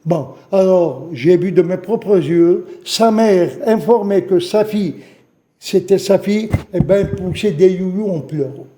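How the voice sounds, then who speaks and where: An older man from Algeria tells his eyewitness story as a guest in a TV studio. His voice sits high for a man but sounds very dark and mellow. He speaks haltingly and often repeats his phrases. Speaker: witness guest. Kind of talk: spoken testimony in a studio interview.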